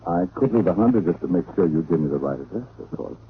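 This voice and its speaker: An actor playing a charming, wisecracking hardboiled detective with an American accent, acting and narrating in an old radio drama. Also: male voice, deep voice, lively intonation, fast talker, phone-quality sound. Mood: flirtatious wheedling. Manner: glib, breezy wisecracks. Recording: thin, noisy vintage radio recording.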